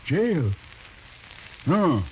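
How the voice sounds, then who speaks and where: An actor playing a proud, solemn medicine man in an old radio western, speaking halting, broken English. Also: on the high side, sing-song, slight background noise, heavily acted. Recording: muffled old radio drama recording.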